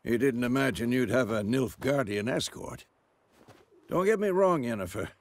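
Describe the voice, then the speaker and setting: A man's voice: mid-range voice, expressive, moderate pace, natural voice. A man playing an older warrior mentor in a video game, plain-spoken, frank and wry. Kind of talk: video game cutscene acting.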